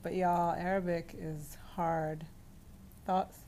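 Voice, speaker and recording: Chatty woman host with a lowish voice. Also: flat delivery, slow, a little hiss or hum. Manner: chatty and warm, laughs often, talks aside to family. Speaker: livestream host. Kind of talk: casual livestream chat, reading viewer comments.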